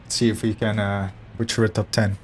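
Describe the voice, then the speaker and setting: Hoarse man narrating sim racing. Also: fairly low pitch, little pitch movement, brisk, a little hiss or hum. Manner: analytic, self-deprecating. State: hoarse, losing his voice. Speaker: sim racing video host. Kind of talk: commentary over gameplay footage. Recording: voice-over with music underneath. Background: background music.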